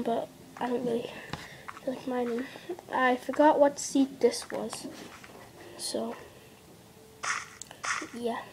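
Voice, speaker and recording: A woman's voice: on the high side, warm tone, a little hiss or hum, low-quality recording. A young girl casually narrating her mobile gameplay indoors, rambling with lots of fillers and dropping into half-whispers. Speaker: gameplay vlogger. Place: indoors at a table. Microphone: device camera filming a handheld screen.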